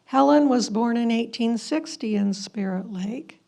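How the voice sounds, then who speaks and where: A woman's voice: a little high, unhurried, creaky, warm tone. A woman reading scripted historical narration aloud as a narrator.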